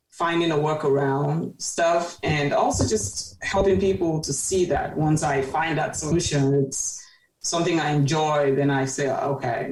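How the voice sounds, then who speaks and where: A woman's voice: deep voice, darkish tone, far from the mic. A woman in her thirties, a podcast guest telling her story in an earnest, reflective way. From Cameroon. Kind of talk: casual conversation. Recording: roomy, off-mic sound.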